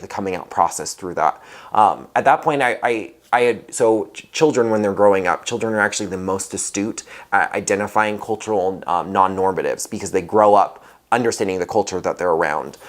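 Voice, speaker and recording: A young man in his twenties from Hawaii, talking in a casual oral history interview, often pausing with fillers and restarting. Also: deep voice. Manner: hesitant, many um fillers and restarts.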